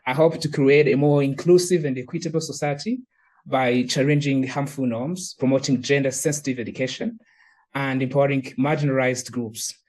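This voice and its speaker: Male advocate from Rwanda, mid-pitched, earnest and measured testimonial read with small restarts. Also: a little mellow, rough recording. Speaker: gender equality advocate. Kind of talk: personal testimonial statement.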